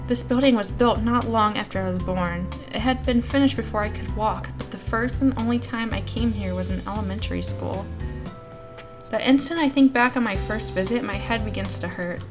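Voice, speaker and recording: A woman hosting a gaming video, reading a visual novel aloud as an expressive storyteller with casual commentary. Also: mid-range voice, moderate pace. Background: background music.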